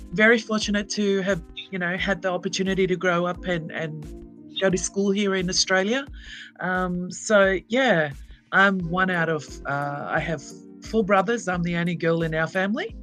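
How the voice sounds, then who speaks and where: A woman's voice: fairly low pitch, normal speed, fairly bright tone, faint music behind. A woman from Tonga who grew up in Australia, chatting as a guest on a livestream and sounding a little shy and giggly. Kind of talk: casual livestream chat.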